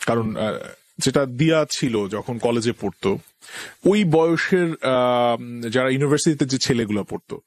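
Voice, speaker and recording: A man's voice: mid-range voice, dry recording. A man with a Bangladeshi accent hosting a conversational radio interview, asking probing questions. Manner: probing questions with frequent acknowledgements. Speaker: radio show host interviewing guests.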